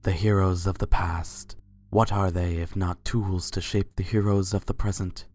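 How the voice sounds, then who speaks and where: A man voicing a suave, courtly pony Sultan who speaks formally and flatters without hurry in a fan fiction reading. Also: low voice, normal speed. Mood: suave, admiring. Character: suave, courtly winged unicorn Sultan, adult male pony.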